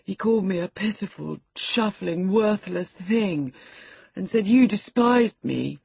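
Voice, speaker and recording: A woman doing a whiny, sickly spoiled boy, husky. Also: medium pitch, expressive, a little slow, darkish tone, no room sound, pitched above the performer's own voice, exaggerated voice. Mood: pitiful, pleading. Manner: whiny, taunting, self-pitying. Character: peevish, sickly, whining spoiled teenage boy.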